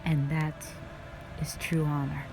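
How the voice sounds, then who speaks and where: Woman voicing a low, slow, stern dragon warrior. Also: flat delivery, gravelly, full character voice, poor audio. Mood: stern, resolute. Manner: measured, solemn, formal phrasing. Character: stern, calm, duty-bound female dragon warrior guardian, centuries old. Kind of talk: scripted roleplay audio drama monologue.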